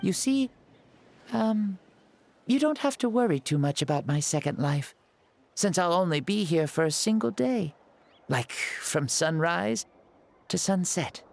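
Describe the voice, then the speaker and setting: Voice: medium pitch, expressive, a little slow, gravelly, long pauses. An actor voicing an ancient hero reborn in a boy's body for a video game, wry and easygoing, moving between solemn and playful. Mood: breezy.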